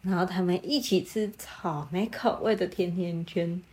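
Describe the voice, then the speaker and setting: A woman improvising a bedtime story with a child, animated and prompting with questions. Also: on the low side, moderate pace. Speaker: storyteller, podcast host.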